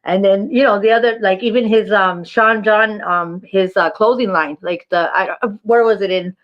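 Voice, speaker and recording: A woman's voice: medium pitch, moderate pace. Rambling woman on dull lo-fi audio. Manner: rambling, many fillers and restarts. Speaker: livestream co-host. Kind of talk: casual chat.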